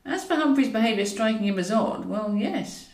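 A woman's voice: mid-range voice, expressive, normal speed, a little mellow. Woman narrating a novel in a roomy echo. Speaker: author reading own novel. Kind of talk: scripted audiobook narration of comic fiction. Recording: roomy echo, distant from mic.

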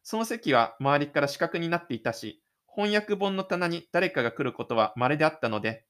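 A man's voice: on the high side, animated, a little fast, a bit bright. A man reading scripted third-person narration for an audio drama.

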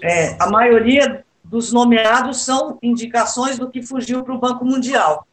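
A woman's voice: fairly high pitch, unhurried, low-quality recording. A woman with a Brazilian accent speaking as a panelist in a political discussion, with an ironic way of talking.

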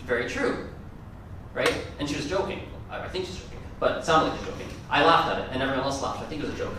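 A man's voice: medium pitch, expressive, quick pace, noisy background. A man giving a casual, rambling conference talk, often correcting himself mid-sentence. His first language is Gujarati. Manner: chatty, rambling, self-correcting. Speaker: conference speaker. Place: large conference room. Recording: echoey hall, mic from far off.

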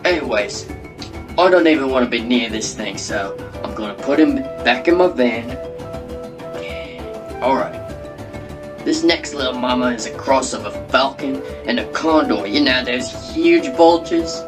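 A man's voice: medium pitch, measured pace, close to an everyday voice. A man as a brash wildlife wrangler, music behind. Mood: boastful. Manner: boastful, slangy, rambling salesman patter. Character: brash, shady Australian wildlife wrangler, boastful and matey. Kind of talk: comedy talk-show guest bit.

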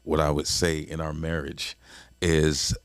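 A man in his forties with a deep voice, co-hosting a casual talk about marriage, reflective and often stammering and repeating words. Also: monotone, unhurried, no room sound. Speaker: podcast co-host, husband. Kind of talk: casual conversation.

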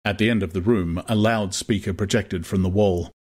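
A man narrating a dramatized audiobook in a measured, literary manner. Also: low voice, fairly even intonation, a little mellow, slightly rough.

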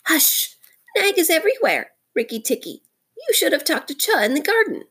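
Woman putting on a squeaky, weepy little muskrat. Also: big pitch swings, moderate pace, a bit bright, full character voice. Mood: frightened hush. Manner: weepy, pleading, sobbing. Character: timid, sorrowful, whimpering little male muskrat.

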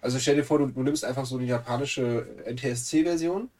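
A man's voice: medium pitch, moderate pace, darkish tone, recorded from a distance. A man with a cold who sounds nasal, chatting casually as a podcast co-host with joking, teasing banter. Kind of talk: casual chat.

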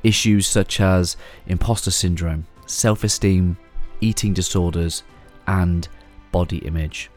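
A man with a deep, low voice hosting a podcast. He talks in an encouraging, easygoing way and slips in soft murmurs of agreement. Faint music plays under him. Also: fairly even intonation, a little slow, hardly any pauses. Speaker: podcast host. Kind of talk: scripted intro, then casual interview.

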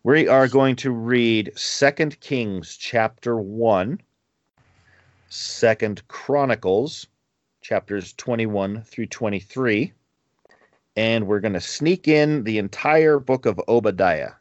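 Podcast co-host, man in his 40s, low and dry, loose rambling delivery, slightly muffled audio. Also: slow pace, no echo. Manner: rambling, chuckles between asides. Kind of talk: casual chat.

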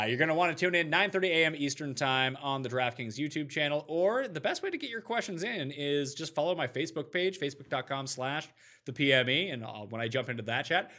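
Male sports show host, lively and bright, higher-pitched, joking and slangy in easy banter. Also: fairly quick. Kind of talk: casual fantasy football talk show banter.

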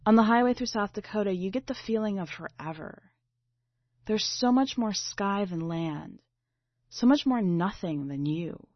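A woman reading a literary short story aloud in a measured, dramatic way. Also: medium pitch, expressive. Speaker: story reader. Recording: slightly dull, boxy roomy recording.

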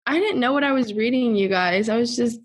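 A woman's voice: on the high side, fairly quick, husky. A young woman hosting a casual podcast, earnestly steering the topic and talking expressively. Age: young adult. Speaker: podcast host. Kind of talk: casual chat.

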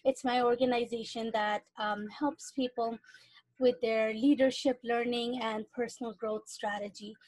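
A woman's voice: on the high side, fairly even intonation, measured pace, a little mellow, dry recording, poor audio. A young adult woman from Iran, a guest on a podcast, talking casually and thoughtfully with frequent fillers. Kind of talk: casual interview talk.

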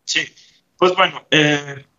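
A man's voice: a little high, steady tone, takes it slowly, pushed and bright, dull audio. A man from Italy, a grief therapist, answering interview questions thoughtfully with frequent hesitations and tag questions.

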